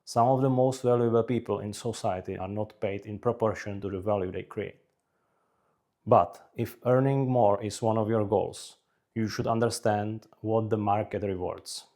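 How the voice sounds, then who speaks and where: A man from the Czech Republic with a Czech accent, narrating scripted career advice in measured, deliberate short phrases. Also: on the low side, fairly even intonation, warm tone. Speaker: career advice video creator.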